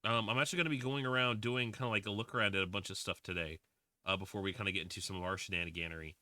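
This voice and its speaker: Young male streamer in his twenties, casual filler-heavy chatter, bright voice, dry sound. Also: lowish voice, fairly even intonation, very fast, no echo. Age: 20s. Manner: rambling, with many fillers and restarts. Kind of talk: casual livestream chat while playing a game.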